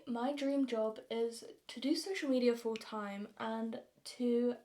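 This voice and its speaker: High-voiced young southern English woman vlogging. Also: darkish tone. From London, England. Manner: informal, chatty, self-deprecating. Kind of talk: casual get-ready-with-me chat to viewers.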